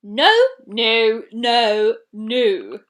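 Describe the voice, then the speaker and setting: A woman's voice: fairly high pitch, fairly even intonation, drawn-out words, a bit bright. A woman voicing a big, fat, bossy male walrus who gives stern orders in a storybook reading. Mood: angry, bellowing.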